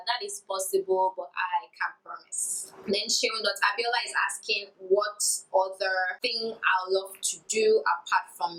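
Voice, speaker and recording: A woman's voice: medium pitch, bright, forceful tone, poor audio. A woman in her twenties, a beauty YouTuber, answering viewer questions in a candid, chatty vlog with a sing-song lilt. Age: 20s. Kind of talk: casual Q&A vlog.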